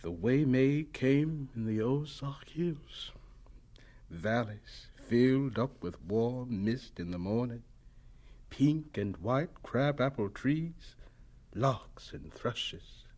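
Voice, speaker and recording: An actor playing a gentle, modest elderly scientist who speaks softly and reflectively in a radio drama. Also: male voice, mid-range voice, animated, slow. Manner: soft-spoken, reflective.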